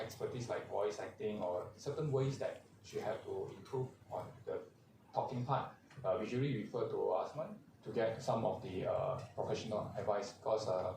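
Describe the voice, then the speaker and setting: A man, a vtuber agency manager on a convention panel, speaking haltingly with many fillers. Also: on the low side, gravelly, a little mellow. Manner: halting, many "uh" fillers, non-native grammar. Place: large convention hall. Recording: echoey hall, distant mic, faint crowd noise.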